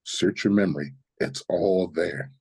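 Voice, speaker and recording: A man playing a cryptic, patient guide in a live screenplay reading, with a husky voice. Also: low voice, measured pace, mellow, heavily acted. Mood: calm, insistent. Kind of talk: live screenplay table read acting.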